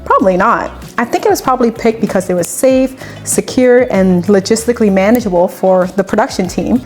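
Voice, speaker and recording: A woman's voice: mid-range voice, animated, brisk, a little mellow. Chatty woman hosting a cooking video. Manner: chatty and conversational, with personal asides. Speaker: cooking channel host. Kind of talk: cooking tutorial with personal chat. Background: faint background music.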